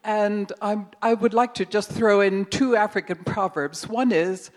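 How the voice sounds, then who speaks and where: A woman's voice: mid-range voice, a little slow. Audience member: an earnest woman who takes her time.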